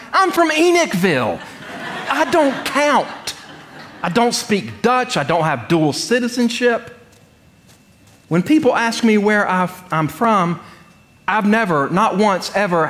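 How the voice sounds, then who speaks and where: A middle-aged man from North Carolina, preaching in a conversational, humorous storytelling way with deliberate pauses. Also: high-pitched, very animated, fairly bright tone, a little hiss or hum. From North Carolina, United States. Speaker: pastor. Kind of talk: sermon with personal storytelling. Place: church sanctuary, speaking from the pulpit.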